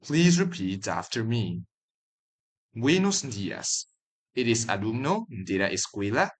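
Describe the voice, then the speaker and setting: A man's voice: on the low side, very animated, takes it slowly. A man narrating a language lesson as a teacher, reading phrases out for learners to repeat.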